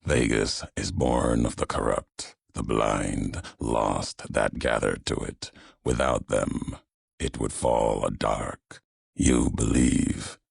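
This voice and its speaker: A man voicing a brooding, vengeful wasteland messenger in a video game, speaking in solemn, measured, cryptic pronouncements with a gravelly, husky voice. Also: very deep voice, no room sound, studio quality, exaggerated voice. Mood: grim, judging. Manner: solemn, measured, cryptic oratory with weighted pauses. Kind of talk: dramatic video game character monologue.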